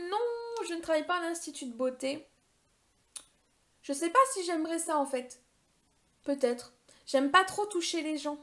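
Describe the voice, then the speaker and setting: A woman's voice: very high-pitched, a bit bright. A woman in her thirties answering viewer questions in a casual vlog, chatty and rambling, with many hesitations and self-mocking humor. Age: 30s. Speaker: beauty YouTuber.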